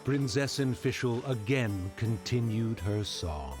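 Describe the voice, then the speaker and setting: A man's voice: medium pitch, expressive, slow pace. A man playing a solemn storyteller who recites a grand fantasy scripture in a video game. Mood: solemn, reverent. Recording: clear background music.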